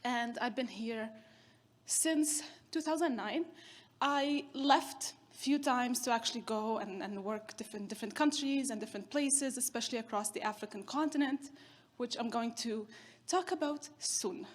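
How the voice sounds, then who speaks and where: Chatty keynote woman, higher voice. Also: normal speed. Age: 30s. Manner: chatty, storytelling with self-deprecating humor. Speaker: keynote speaker. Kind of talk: conference keynote talk.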